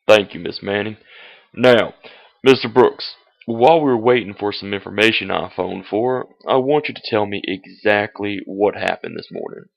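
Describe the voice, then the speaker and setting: A man playing a formal, probing police inspector in an old-fashioned radio mystery, with deliberate authority. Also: lowish voice, vocal fry. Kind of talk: old-fashioned radio detective drama acting. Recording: dull, lo-fi amateur radio-drama recording.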